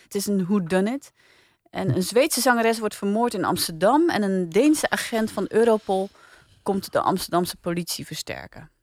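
A woman's voice: mid-range voice, animated, moderate pace, darkish tone, no echo. A woman hosting a podcast in a casual, conversational studio style. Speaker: podcast review host.

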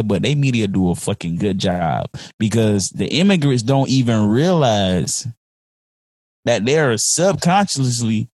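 A man's voice: medium pitch, darkish tone, no echo. A man in a casual podcast conversation, talking in a heated, rambling way and often saying "you know what I'm saying.".

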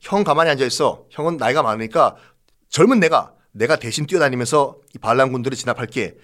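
A man's voice: medium pitch, animated, quick pace, fairly bright tone, natural voice. A man voicing a caring Roman co-emperor in his thirties, an eager, coaxing younger brother in a history story. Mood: earnest.

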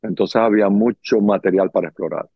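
A middle-aged man with a Venezuelan accent, telling stories as an interview guest in an animated, theatrical way and acting out bits of dialogue. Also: on the low side, brisk, creaky, husky, dry recording, dull audio. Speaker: guest, circus performer and dancer. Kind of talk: conversational storytelling in an interview.

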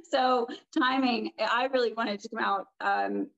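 A woman with a raspy voice, chatting as a guest in an interview, rambling and restarting her sentences. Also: a little high, slow, a bit bright, dull audio. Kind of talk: casual interview talk.

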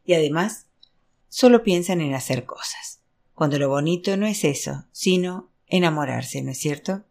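A narrator voicing a frank, flirtatious divorced aunt in an audiobook novel, brisk, outspoken and teasing. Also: medium pitch, expressive, creaky, darkish tone. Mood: indignant, confiding.

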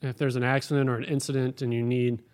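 A man's voice: medium pitch, flat delivery, fast, a little mellow. A man who works as an air traffic controller, answering interview questions in a plain, practical, explanatory way.

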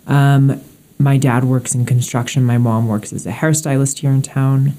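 Teen girl student, matter-of-fact, mid pitch, mellow. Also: steady tone, normal speed, slight background noise. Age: teens. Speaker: student interviewee.

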